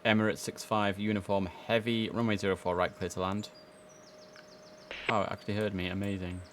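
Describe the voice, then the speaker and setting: A man with a fairly low voice doing livestream game commentary. He drifts between casual chat to himself and clipped controller instructions, with clear game ambience and noise behind him. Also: level tone, brisk. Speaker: streamer playing an air traffic controller. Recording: clear background noise under the voice.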